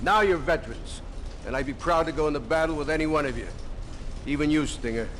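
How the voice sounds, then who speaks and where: A commanding navy officer acted by a man in a military video game, his voice pitched high for a man. He barks clipped, authoritative orders to fighter pilots, with faint vehicle rumble behind him. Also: quick pace, a bit bright, close to an everyday voice. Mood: proud. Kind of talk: dramatic military briefing acting.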